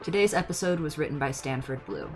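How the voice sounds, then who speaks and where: A woman reading episode credits in a plain, even scripted way. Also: low voice, fairly quick. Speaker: credits announcer. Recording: spoken over clear music.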